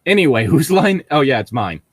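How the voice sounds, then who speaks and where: A man's voice: mid-range voice, very animated, a little fast, dry recording. A man chatting casually and jokingly with friends, prone to breaking into laughter. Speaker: co-host reading a book aloud with friends. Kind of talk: casual joking group chat.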